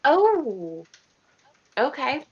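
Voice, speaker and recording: Slow-talking woman chatting over a game. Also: high voice, sing-song. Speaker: player in a tabletop game. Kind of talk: casual game chat. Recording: dull lo-fi online call, background noise.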